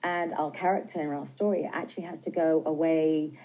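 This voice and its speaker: Husky-voiced British woman, low and warm. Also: fairly even intonation. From Britain. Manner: earnest, measured, some hesitations. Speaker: film director being interviewed. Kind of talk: studio interview answers. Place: TV news studio. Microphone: lapel.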